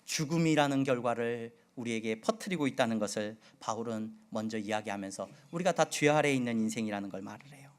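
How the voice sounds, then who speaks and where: A middle-aged male pastor from the Jeolla region preaching a sermon, with a slight Jeolla accent, asking his congregation many questions and moving between loud and soft delivery. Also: medium pitch, fast, hardly any pauses. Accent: Seoul Korean with occasional Jeolla dialect. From Jeolla region, Korea.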